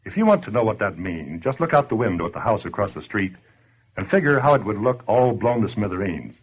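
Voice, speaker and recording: Casting: blunt, slangy male scold for 1940s radio, husky, very animated delivery, old muffled recording. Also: fairly low pitch, brisk. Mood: blunt, urging. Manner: blunt, sardonic, colloquial. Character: tough, slangy streetwise man scolding complacent listeners. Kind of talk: 1940s radio acting, direct address to listener.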